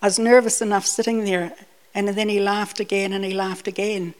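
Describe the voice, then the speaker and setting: A woman's voice: medium pitch, level tone, moderate pace, low-quality recording. New woman preaching conversationally. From Scotland, raised in New Zealand. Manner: conversational, repeats words when restarting. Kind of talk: personal sermon with anecdotes.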